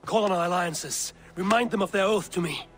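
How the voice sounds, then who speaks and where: A man's voice: sits high, brisk, fairly bright tone, faint music behind. A man playing a stern, resolute Viking warrior leader burdened by duty, in dramatic video game acting. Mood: distressed urgency.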